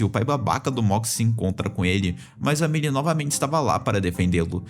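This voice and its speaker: A Brazilian man narrating a scripted video essay in a casual, opinionated, explanatory way. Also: medium pitch. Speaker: YouTube video essayist on cartoons.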